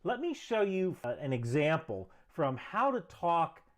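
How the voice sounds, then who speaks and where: A man's voice: fairly high pitch, sing-song, a little slow. A man presenting a scripted explainer commentary with emphatic, structured delivery. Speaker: video presenter.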